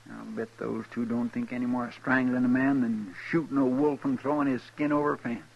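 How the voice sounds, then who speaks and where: Creaky, folksy western deputy sidekick. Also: male voice, mid-range voice, steady tone, fairly quick, highs cut off. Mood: alarmed, earnest. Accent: rural American. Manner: folksy drawl, earnest. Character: folksy, eager, simple-hearted frontier deputy sidekick. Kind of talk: 1950s radio western acting. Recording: noisy old radio recording.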